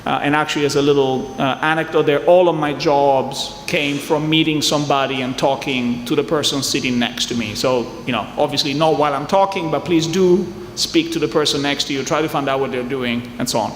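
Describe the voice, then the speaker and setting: A man's voice: on the high side, fairly quick, few pauses, slight background noise. A middle-aged man from southern Italy with an Italian accent, a venture investor chatting and joking with a conference audience. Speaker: venture capital investor on a panel. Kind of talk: conference fireside chat. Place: conference hall. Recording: echoey hall, faint ambience.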